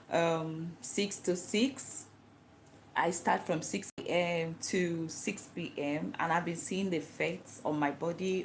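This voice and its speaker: Nigerian woman vlogger with an Igbo first language, low-pitched voice, casual and talkative, walks through her reasons point by point. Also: a little mellow, slight background noise, low-quality recording. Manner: chatty and explanatory, asks herself questions and answers them. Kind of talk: casual talk to camera.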